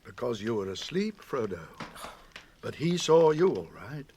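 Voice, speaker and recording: Wise old wizard, kindly but in charge, mid pitch, very expressive, radio play. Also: male voice, a little slow, slight background noise. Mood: kindly firm. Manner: stately, kindly, commanding. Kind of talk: radio drama acting.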